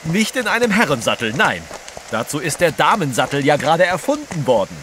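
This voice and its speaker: Radio play role: pompous, etiquette-bound British reporter, animated pitch, noisy rainy outdoor scene. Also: male voice, brisk. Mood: stuffy, indignant. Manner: pompous, fussy. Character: prim British male reporter, stuffy and proper. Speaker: actor in an audio drama. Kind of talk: German radio play acting. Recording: noisy outdoor rain backdrop.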